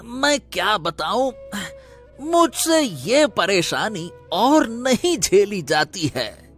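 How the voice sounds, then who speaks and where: A man's voice: high-pitched, very animated, drawn-out words, fairly bright tone, faint music behind, full character voice. Man voicing an anxious, hesitant royal minister. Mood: distressed. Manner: hesitant, stammering. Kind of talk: Hindi cartoon story voice acting.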